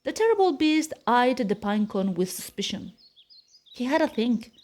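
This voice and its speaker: A woman narrating a children's picture book aloud in an expressive, deliberate storytelling style. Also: on the high side.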